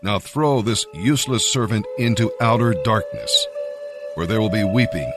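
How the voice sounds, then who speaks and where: A man's voice: lowish voice, wildly swooping pitch, moderate pace, over loud music. A man voicing a wealthy, authoritative master addressing his servants in a scripture story. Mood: stern, condemning.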